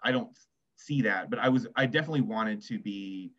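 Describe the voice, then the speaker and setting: A man's voice: on the low side, brisk, a bit bright. A man talking casually and reflectively as a writer on a panel, hesitant and self-deprecating, over a lo-fi video call. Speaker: essay writer on a literary festival panel. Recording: dull, lo-fi video call audio.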